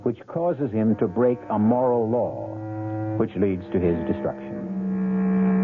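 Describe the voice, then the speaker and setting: A solemn male host of an old radio mystery, speaking in measured, literary phrases. His low voice rises and falls dramatically, with music and thin, tinny broadcast sound. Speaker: host and narrator.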